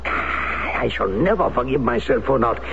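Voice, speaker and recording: A man's voice: mid-range voice, expressive, measured pace, vocal fry. An actor playing a grave, dejected Frenchman who speaks in a formal way. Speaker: actor in a radio drama. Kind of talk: 1970s radio drama acting. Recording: dull old radio recording, faint hiss.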